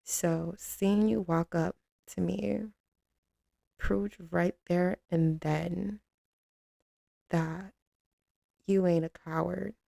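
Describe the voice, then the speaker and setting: Casting: woman as a commanding female mafia boss, slow drawl, dark velvety tone, tough but soft on her man, intimate ASMR roleplay. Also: on the low side, steady tone, vocal fry, no echo, studio quality, heavily acted. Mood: affectionate, reminiscing. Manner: drawling, teasing, streetwise, with pet names.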